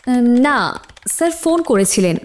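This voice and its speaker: A woman's voice: fairly high pitch, animated, normal speed, a little mellow, natural voice. A woman playing a guarded young student testifying as a witness in an audio drama, explaining herself a little hesitantly. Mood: guarded, earnest. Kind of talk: courtroom audio drama dialogue.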